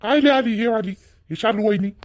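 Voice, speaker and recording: A man's voice: sits high, fairly quick, slight background noise, lightly acted. A man voicing a blunt, demanding young married son in an amateur village cartoon, with a Vidarbha Marathi accent. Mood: resentful, curt.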